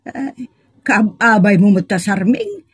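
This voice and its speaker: An actress playing a nagging, suspicious older wife in an audio comedy drama, scolding with sarcastic complaints. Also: female voice, medium pitch, big pitch swings, slow, slightly rough, no room sound, clean studio sound, heavily acted. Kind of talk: comedy radio-style drama acting.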